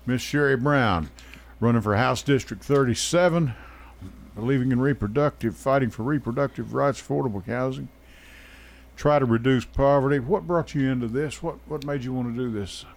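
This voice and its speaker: A man's voice: mid-range voice, normal speed, vocal fry. A man hosts a local radio talk show from a studio microphone. He rambles, cracks jokes at his own expense and keeps things casual and chatty. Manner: joking, rambling, self-deprecating.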